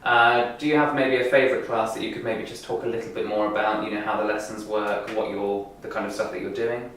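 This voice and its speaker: A man's voice: lowish voice, level tone, fast, a bit bright. A man from the programme staff interviewing students, prompting them with friendly questions. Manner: friendly, prompting, brief acknowledgements. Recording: echoey room, distant mic, faint noise.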